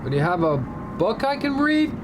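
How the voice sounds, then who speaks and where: A man's voice: sing-song, normal speed. An actor playing a bewildered, mild-mannered American man abducted by aliens, hesitant and curious, in a radio drama. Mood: puzzled, curious. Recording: loud music and rumble behind.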